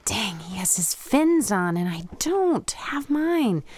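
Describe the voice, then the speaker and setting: Actress as a spirited young woman, very animated pitch. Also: slow pace, a little hiss or hum. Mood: frustrated, worried. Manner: expressive, teasing, exclamatory. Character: teasing, spirited young Japanese woman, athletic and caring. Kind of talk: radio play acting.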